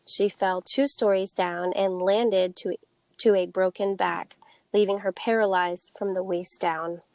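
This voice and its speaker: A woman's voice: medium pitch, dry recording. A woman hosting a podcast, reading an intro and then asking questions in an upbeat, enthusiastic way. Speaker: podcast host. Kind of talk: scripted intro, then casual interview.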